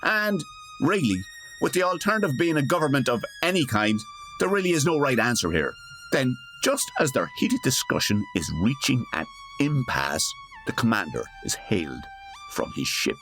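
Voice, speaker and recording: A man's voice: medium pitch, big pitch swings, normal speed, music in the background. A man playing a wry, colloquial narrator delivering scripted comic narration for a radio parody.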